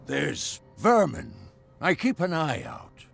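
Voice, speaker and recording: An actor playing a surly, hostile caretaker in a video game, with a gruff, threatening manner and raspy voice. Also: male voice, a little high, very animated, takes it slowly, a bit bright, soft background music, full character voice. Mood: grudging. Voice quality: gravelly, raspy. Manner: gruff, threatening, old-fashioned tough slang. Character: surly, hostile male caretaker guarding a burnt mansion. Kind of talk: video game cutscene acting.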